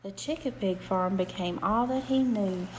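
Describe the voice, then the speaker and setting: A woman reading a rhyming bedtime storybook aloud to a child in an expressive, rhythmic way. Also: mid-range voice, normal speed. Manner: rhythmic, animated read-aloud with emphatic questions.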